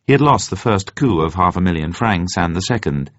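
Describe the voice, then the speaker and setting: A man narrating a novel as a scripted dramatic reading, in a measured, deliberate storytelling manner. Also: sits low, expressive. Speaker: audiobook narrator. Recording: roomy, slightly dull sound.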